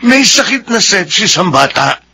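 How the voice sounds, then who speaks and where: A man's voice: high-pitched, big pitch swings, normal speed, bright, forceful tone, slight background noise, heavily acted. A Filipino man playing a defensive, repentant father of grown children, earnest and pleading, in a radio drama.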